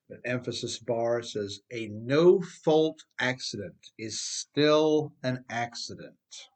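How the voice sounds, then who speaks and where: A middle-aged man with an American accent reads his own audiobook in an emphatic, conversational way, often addressing the listener directly. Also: medium pitch. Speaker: author reading own audiobook. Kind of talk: scripted audiobook narration with conversational asides.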